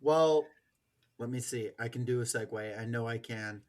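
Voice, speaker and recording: A man's voice: mid-range voice, normal speed, a little mellow. A man hosting a podcast in casual chat, with an irreverent, wry manner. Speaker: podcast host.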